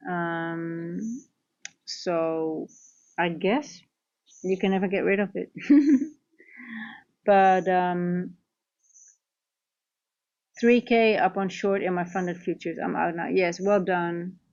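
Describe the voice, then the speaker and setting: A woman's voice: on the low side, steady tone, slow pace, pauses often, a little mellow, dull audio. A tired woman from the Netherlands with a Dutch accent, chatting casually through a live trading stream and answering viewers.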